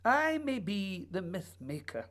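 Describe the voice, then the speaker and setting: A man's voice: sits high, sing-song, measured pace, fairly bright tone, exaggerated voice. An actor playing a lonely, remorseful playwright mourning his lost friend, in a theatrical, sighing radio drama monologue. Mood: wistful, tender.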